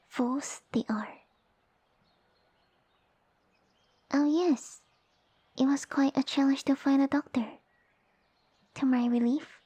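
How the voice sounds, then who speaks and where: A woman voicing a small, sassy female lamia who rules her village, speaking softly and breathily with formal, imperious phrasing in an ASMR roleplay. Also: high-pitched, no room sound. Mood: proud, imperious. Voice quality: soft, breathy, whispery. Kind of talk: ASMR roleplay audio addressed to the listener.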